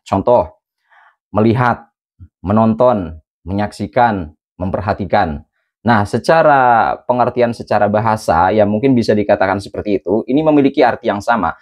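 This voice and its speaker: A man with a low voice and an Indonesian accent talks to his viewers. He lays out his points one by one in an even, explanatory way. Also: dull audio. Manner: measured, addresses viewers as friends. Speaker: reaction video host.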